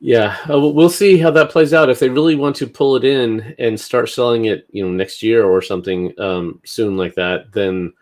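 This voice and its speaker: A man's voice: mid-range voice, normal speed, hardly any pauses. Male talk host, mid-range voice, informal news discussion with a hesitant, unscripted feel.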